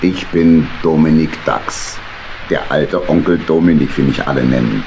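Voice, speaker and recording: An actor playing a grumpy old uncle badger, a blustering riverboat captain in a children's audio drama. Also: male voice, a bass voice, normal speed, vocal fry, over loud music. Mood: genial. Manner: irritable, blustering scolding.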